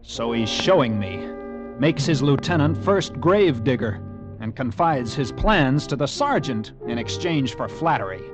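Music runs strongly behind a man playing a resentful cavalry lieutenant. His voice is a little high for a man, and he speaks in a bitter, measured, literary way, like the narrator of an old-time radio adventure. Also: expressive, natural voice. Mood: bitter contempt. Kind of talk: 1950s radio drama acting, brooding first-person narration. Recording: vintage radio broadcast with musical underscore.

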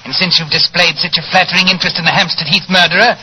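An actor playing a gloating, menacing mad murderer who runs a wax museum, in the theatrical style of 1940s radio drama. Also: male voice, sits high, fairly even intonation, rapid-fire, lightly acted. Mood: menacing, taunting. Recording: noisy old 1940s radio recording.

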